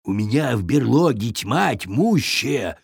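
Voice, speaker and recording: A grumpy storybook bear, acted by a man, growls and grumbles his demands. He is bossy and gruff, recorded dry. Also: mid-range voice, big pitch swings, slow, no room sound, exaggerated voice. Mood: gruff, demanding.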